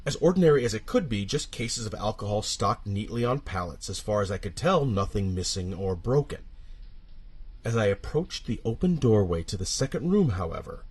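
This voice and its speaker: Husky low-voiced man narrating horror. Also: animated. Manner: dramatic, suspenseful storytelling with deliberate pauses. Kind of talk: scripted first-person horror narration.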